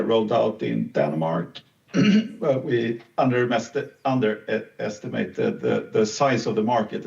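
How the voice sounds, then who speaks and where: A middle-aged company founder presenting in a webinar, slow and hesitant with many fillers. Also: male voice, medium pitch, gravelly, a little mellow. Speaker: CEO and founder. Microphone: video call. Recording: distant dull video call audio.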